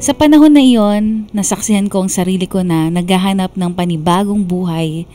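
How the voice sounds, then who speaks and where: A woman playing a burned-out young woman who tells her life story in measured, confiding radio drama narration. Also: mid-range voice, mellow, close to an everyday voice. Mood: resolute, reflective. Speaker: narrator reading a letter sender's story. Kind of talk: scripted first-person radio drama narration. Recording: background music under the narration.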